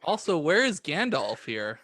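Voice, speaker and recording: A man's voice: fairly high pitch, sing-song, a little slow, pushed and bright, no echo. A man co-hosting a comedy podcast in casual, bantering chat. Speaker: podcast host.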